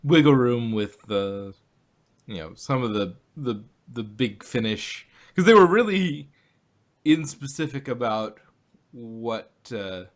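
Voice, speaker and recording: A man's voice: mid-range voice, lively intonation, takes it slowly. A man casually chatting as a podcast co-host, hedging and hesitant, with many fillers. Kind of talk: casual chat.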